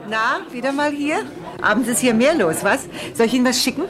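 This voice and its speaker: An actress playing a chatty, knowing waitress in a German radio crime drama, familiar and gossipy. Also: female voice, a little high, a little fast, lightly acted. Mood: familiar, teasing. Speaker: pub waitress. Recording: busy pub crowd behind voice.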